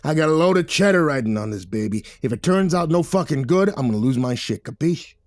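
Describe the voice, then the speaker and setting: A man voicing a gruff, bossy, menacing movie backer with a rumbling, slangy delivery in an audiobook. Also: expressive, fairly quick, dry recording, clean studio sound, close to an everyday voice. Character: Victor, gruff menacing male mob-style movie backer. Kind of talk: audiobook character voice.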